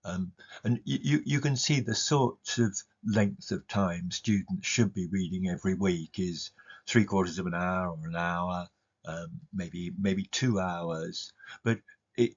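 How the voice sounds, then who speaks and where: A man presenting slides as a teacher, explaining things in a hesitant, halting way with repeated words. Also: low-pitched, husky. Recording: slightly dull, lo-fi recording.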